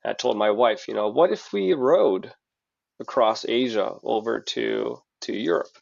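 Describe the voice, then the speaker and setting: A man's voice: mid-range voice, animated, unhurried, vocal fry, dry recording. A middle-aged man from Hungary, chatting as a guest on a podcast and telling long stories with lots of restarts. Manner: chatty storyteller with frequent restarts. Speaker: guest, company founder. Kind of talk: casual podcast interview. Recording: dull lo-fi dry audio.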